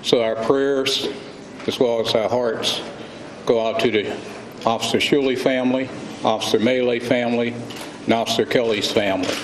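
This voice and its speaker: A man with an American accent gives a formal briefing as a police chief. His mid-pitched delivery is solemn and deliberate. The recording is echoey, with clear background noise. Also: fairly even intonation. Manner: grave, deliberate. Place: press conference room. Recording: echoey room, clearly noisy audio.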